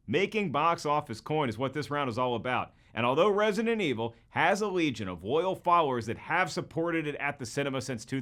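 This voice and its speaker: A man's voice: fairly high pitch, fairly bright tone. A man with an American accent hosting a scripted comedic video essay in a jokey, emphatic presenter's delivery. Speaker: host.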